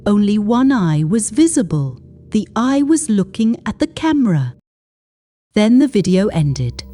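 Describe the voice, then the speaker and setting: A woman reading a scripted horror story in a deliberate, suspenseful way, with short dramatic phrases. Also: medium pitch, warm tone.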